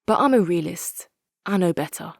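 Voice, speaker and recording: A British actress playing a frank, sceptical military commander in a strategy game, with a wry, plain-spoken manner. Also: female voice, fairly low pitch, lively intonation, normal speed, vocal fry, dry recording, studio quality. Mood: sober. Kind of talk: dramatic scripted game character monologue.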